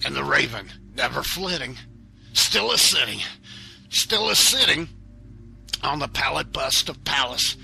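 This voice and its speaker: A man's voice: mid-range voice, animated, measured pace, creaky, pauses often, pushed and bright, breathy edge, soft background music, exaggerated voice, poor audio. An actor playing a tormented, grieving man haunted by a raven in a dramatic poetry reading. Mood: despairing.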